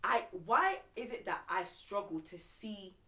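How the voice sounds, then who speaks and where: Young girl on a podcast, bright voice, kinda far from the mic in an echoey room, stop-start and laughy. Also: medium pitch. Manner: animated, halting, laughs between words. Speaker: podcast panelist. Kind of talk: casual discussion. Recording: roomy sound, set back from the mic.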